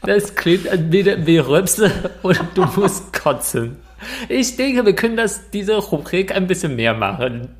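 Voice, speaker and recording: A man's voice: sits high, sing-song, unhurried. A man co-hosting a casual podcast, teasing his partner and patiently explaining things. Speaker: podcast co-host.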